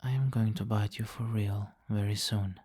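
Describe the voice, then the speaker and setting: A man playing a possessive, teasing vampire king in an intimate ASMR roleplay, commanding and breathy, often slipping into whispers on a close mic. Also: on the low side, fairly even intonation, normal speed, a little mellow, close to an everyday voice. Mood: commanding, hungry. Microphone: close microphone, dry.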